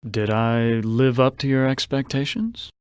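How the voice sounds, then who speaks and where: A man's voice: mid-range voice, steady tone, unhurried, a little mellow, smooth, no room sound, close to an everyday voice. Theatrical, suave game hero, acted by a man. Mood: somber, bargaining. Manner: theatrical, refined, slightly haughty. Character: suave, vain, anguished male adventurer in a gothic horror game. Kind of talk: theatrical video game voice acting.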